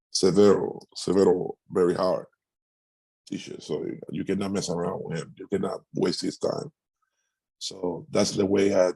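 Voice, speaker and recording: Middle-aged Cuban man, Spanish speaker, gravelly and husky, halting answers. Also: sits low, warm tone, dry recording, low-quality recording. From Cuba. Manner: halting, with frequent restarts and fillers. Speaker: musician guest. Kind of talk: interview answers.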